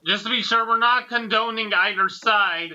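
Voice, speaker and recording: A man's voice: sits high, fairly even intonation, normal speed, bright, forceful tone. A man giving casual, opinionated co-host commentary on a lo-fi recording. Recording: dull, lo-fi voice recording.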